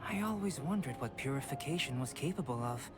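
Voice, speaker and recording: Young male game alchemist, played by an actor: composed, formal, detached, measured delivery, music underneath. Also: mid-range voice, lively intonation, lightly acted. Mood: calm, analytical. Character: calm, aloof young male alchemist and artist. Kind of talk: scripted video game dialogue.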